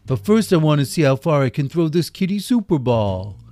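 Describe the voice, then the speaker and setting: A storyteller voicing a giant dim-witted brute who talks loud, slow and gloating. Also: male voice, on the high side, big pitch swings, pitched above the performer's own voice.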